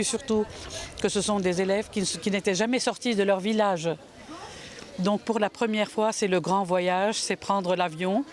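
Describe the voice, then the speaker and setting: A man, a teacher with a student group, speaking haltingly in an interview and repeating words. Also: high-pitched.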